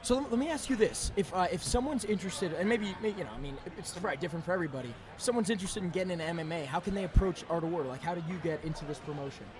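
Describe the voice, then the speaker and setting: A hoarse young man hosting a casual podcast, excitable and slangy in his talk. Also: high voice, rapid-fire, few pauses. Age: young adult. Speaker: podcast host. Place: indoor event venue, at a table. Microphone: podcast microphone on boom arm. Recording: busy crowd behind the voice.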